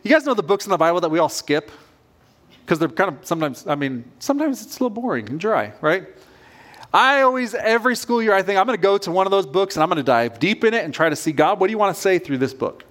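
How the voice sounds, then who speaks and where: A man's voice: high voice, big pitch swings, fast, fairly bright tone. A man in his forties preaching a sermon as a pastor in an informal, conversational storytelling manner. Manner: informal, self-questioning storytelling.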